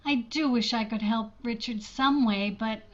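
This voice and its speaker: A woman's voice: on the high side, a little slow, muffled recording. A woman acting an earnest, emotive schoolteacher in her thirties in a 1940s-style radio romance. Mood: anxious, confessing.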